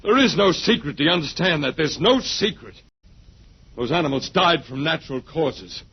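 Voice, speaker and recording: An actor playing a wry, weary but stubborn human prisoner in a 1950s radio drama, with a dry, sardonic manner. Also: male voice, on the high side, lively intonation, moderate pace, slightly rough. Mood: desperate, pleading. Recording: muffled old radio broadcast recording.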